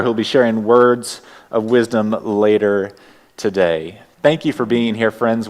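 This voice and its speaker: A man with a Texas accent leading a church service, welcoming people and giving opening words in a gentle, unhurried way. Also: mid-range voice. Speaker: worship leader. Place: church sanctuary.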